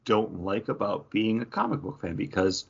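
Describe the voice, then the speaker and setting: A man co-hosting a podcast in casual chat, rambling and animated with lots of restarts. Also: lowish voice, moderate pace, gravelly, slightly rough. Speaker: podcast co-host. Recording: dull lo-fi podcast audio.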